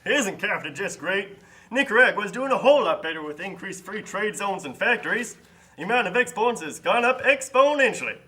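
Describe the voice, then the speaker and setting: Guy doing smug pushy salesman puppet, high bright voice, super upbeat. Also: sing-song, a little fast, exaggerated voice, rough recording. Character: smug pro-free-trade salesman type, pushy and upbeat. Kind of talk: scripted puppet show dialogue.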